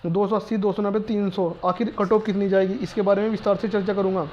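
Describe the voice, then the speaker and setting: A man's voice: high-pitched, little pitch movement, quick pace, darkish tone, muffled recording. A man explaining exam information to students informally, in a repetitive, insistent, emphatic manner. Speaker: exam prep presenter.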